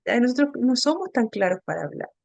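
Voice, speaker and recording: A woman instructor with a fairly high voice and a Chilean accent, recorded dry and close without room sound. She lectures informally in a halting way, often pausing to search for words and checking in with short tag questions. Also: big pitch swings, creaky, a little mellow, no room sound, muffled recording. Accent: Chilean Spanish. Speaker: instructor of an inclusive education class.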